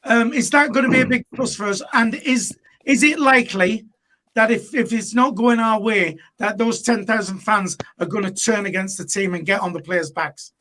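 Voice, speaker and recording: A man's voice: high voice, normal speed, sharp tone, slight background noise. A man hosting a live football fan show, blunt and full of fillers as he moves between panelists. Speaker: host.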